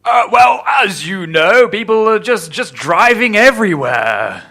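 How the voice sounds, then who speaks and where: A man acts a flustered minister being interviewed in a radio comedy. He is earnest and hesitant, with a high, forceful voice. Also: very animated, measured pace, heavily acted. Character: flustered male government minister interviewed on the news. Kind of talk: improvised comic radio news interview.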